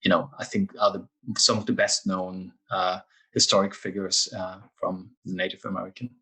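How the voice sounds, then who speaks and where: A man's voice: low voice, flat delivery, moderate pace, pushed and bright, breathy edge. A man in his forties from East Germany with a German accent, telling personal stories candidly and earnestly as a podcast guest. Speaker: podcast guest, music industry executive. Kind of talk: conversational personal storytelling.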